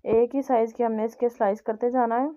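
A woman's voice: fairly high pitch, little pitch movement, fairly quick, warm tone. A woman narrating a home recipe as host, explaining step by step and repeating her instructions. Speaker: home cooking channel host. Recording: dull, lo-fi home recording.

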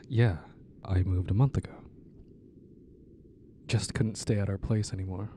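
A man playing a worried, caring ex-boyfriend in an intimate audio roleplay, soft-spoken and hesitant, gently insistent, with halting pauses. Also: on the low side, a little hiss or hum. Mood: tender, teasing. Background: ambient sound effects.

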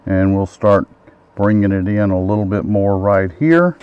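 A middle-aged man with a calm, plain-spoken manner, teaching woodturning step by step in his home workshop. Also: on the low side, unhurried, highs cut off. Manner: calm, plain-spoken, thinks aloud while working. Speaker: woodturning instructor. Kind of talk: relaxed step-by-step workshop narration. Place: home woodworking shop with a running lathe. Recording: workshop with clear lathe and tool noise.

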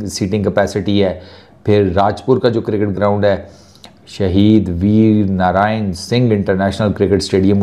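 Man, 30s; relaxed, joking sports host, mellow tone. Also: fairly low pitch. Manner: chatty and joking, mixing in English words, reels off lists. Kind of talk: casual talking-head sports commentary. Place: small furnished studio set indoors. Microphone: lapel mic.

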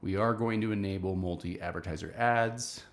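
A man's voice: low voice, steady tone, moderate pace. A man in his thirties hosting a casual screen-share marketing tutorial, talking step by step in an instructional way from a small home office.

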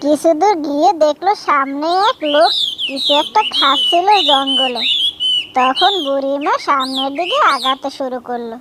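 A woman's voice: high voice, normal speed, heavy background noise. A woman storyteller reading scripted narration for a children's cartoon tale.

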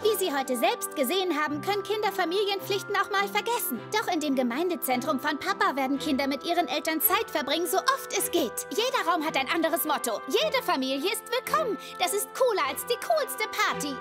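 A plucky, bubbly cartoon girl with a very high, lively voice. She sounds eager and excitable, like someone always ready to help. Loud music plays behind her. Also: fairly quick, few pauses. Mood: eager, confident. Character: eager, plucky young girl, helpful and adventurous. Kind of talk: animated cartoon dubbing.

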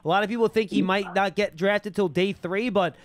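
A man's voice: high voice, steady tone, fairly quick, no echo. A man hosting a casual live sports talk show, close on a studio mic. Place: small indoor studio. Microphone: close broadcast boom mic.

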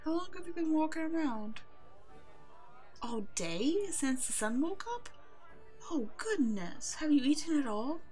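A woman playing a kind, protective werewolf in an ASMR roleplay drama, speaking gently and reassuringly as if to a child. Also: sits high, lively intonation, measured pace, faint music behind. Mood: concerned, kind. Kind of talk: ASMR roleplay audio drama, one-sided dialogue.

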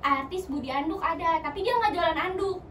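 A woman's voice: extremely high pitch, a bit bright. A young woman in her twenties, playful and giggly, hosting a casual joking chat while sitting on a floor. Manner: playful, giggly, quick banter. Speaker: host. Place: small room with foam panels, sitting on floor. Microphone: far camera. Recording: echoey room from far off, noisy.

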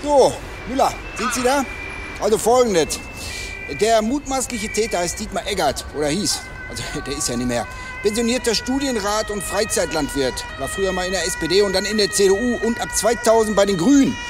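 A chatty, self-satisfied detective in a radio crime drama. His voice sits high for a man and swings up and down in a lively way. He talks colloquially, with music running behind him. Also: brisk, far from the mic. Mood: smug, chatty. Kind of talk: naturalistic German radio crime drama acting.

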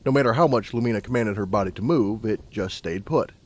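A man narrating a scripted story as an audiobook narrator, in a measured, dramatic storytelling manner. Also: on the low side, a little mellow.

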